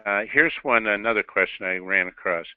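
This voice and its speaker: A male company executive answering investor questions on a telephone call, measured and pausing between short phrases. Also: fairly low pitch, no room sound. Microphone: telephone on a conference call. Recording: narrow dry telephone line.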